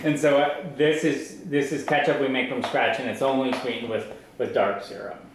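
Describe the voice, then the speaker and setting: A man's voice: mid-range voice, moderate pace. A young man talks like an informal tour guide. He restarts his phrases as he explains. The room rings heavily around his voice, and there is a little background noise. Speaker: maple farmer giving a tour. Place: large farm sugarhouse and tasting room. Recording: echoey farm building, some background noise.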